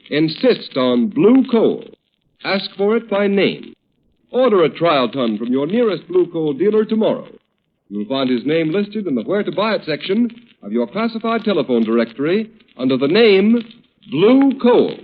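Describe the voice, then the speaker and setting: Tinny 1930s broadcast, male announcer, formal sales read, emphatic, very lively intonation. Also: on the high side. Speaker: radio announcer. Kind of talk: 1930s radio advertisement read. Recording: tinny, phone-like old radio recording.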